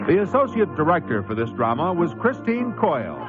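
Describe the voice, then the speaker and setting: Loud music plays under a dull radio recording of a man. His high, lively voice reads in formal announcer style. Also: fairly quick. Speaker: credits announcer. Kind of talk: scripted radio credits announcement.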